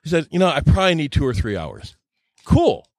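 A man's voice: on the high side, huge pitch swings, fairly quick, no room sound. A man telling anecdotes casually on a podcast, often stammering and repeating words as he goes, plain-spoken and self-deprecating. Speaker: podcast storyteller. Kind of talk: casual anecdotal storytelling chat.

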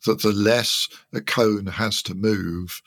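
Older man with gravelly, husky low voice. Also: steady tone, slow pace, fairly bright tone, no room sound, studio quality. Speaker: interview guest, loudspeaker designer. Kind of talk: conversational technical explanation.

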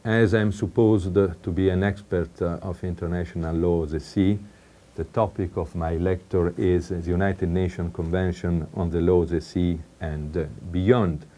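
Italian guy, middle-aged, low mellow voice, slow careful lecture w/ lots of uh's. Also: fairly even intonation. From Italy. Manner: measured, with frequent "uh" hesitations. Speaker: law professor. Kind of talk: recorded academic lecture.

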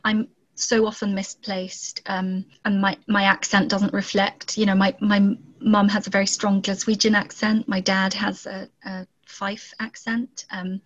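A woman who grew up in Zambia talks through her ideas slowly and reflectively, circling back and restarting her phrases as she thinks. Her voice sits at a middling pitch for a woman. The recording sounds a little dull and lo-fi. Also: flat delivery. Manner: thoughtful, hesitant with many restarts. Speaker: children's author. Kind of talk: reflective conversation.

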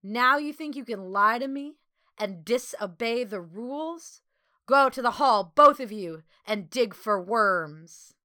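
A woman voicing a stern, gruff older male warden who bellows orders in a storybook. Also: high voice, big pitch swings, a little slow, pauses often, a bit bright, no room sound, clean studio sound, voice pushed up, heavily acted. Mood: angry.

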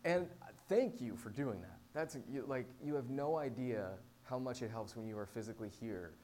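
Guy preaching casually to a small church, self-deprecating and jokey, mid-pitched voice, some hum and noise behind him. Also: animated, moderate pace, a little mellow. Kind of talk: informal conversational sermon. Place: live talk to a small congregation. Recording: clear background noise with hum.

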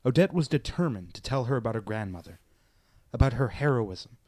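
A man's voice: medium pitch, animated, a little fast, a little mellow, no echo, clean studio sound. A man reading scripted narration for a radio play.

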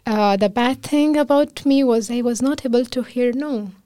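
A woman's voice: on the high side, normal speed, warm tone, no echo. Earnest Afghan-accented woman, dry sound. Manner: earnest, emotional storytelling with quoted speech and laughter. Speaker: guest, physician. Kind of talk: casual interview conversation.

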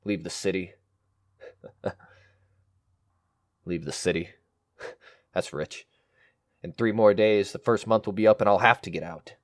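An actor playing a greedy, short-tempered schemer in a melodramatic radio play, brooding and domineering. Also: male voice, sits low, unhurried, long pauses. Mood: scheming, frustrated. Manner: brooding, muttering to himself, domineering. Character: scheming, greedy, short-tempered man hunting a hidden inheritance.

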